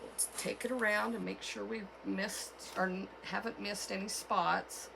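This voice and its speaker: Slow, hoarse, gravelly woman crafter. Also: mid-range voice, steady tone, noisy background. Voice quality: froggy, gravelly. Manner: chatty, unhurried, with fillers and self-corrections. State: hoarse from a cold. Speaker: craft channel host. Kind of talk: casual craft tutorial.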